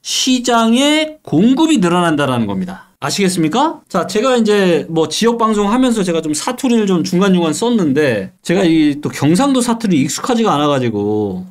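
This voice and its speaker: A man's voice: high voice, big pitch swings, normal speed, hardly any pauses. A man explaining real estate data charts in an online lecture, asking rhetorical questions and answering them himself. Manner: leads into points with a repeated "so". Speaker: real estate data analyst and channel host.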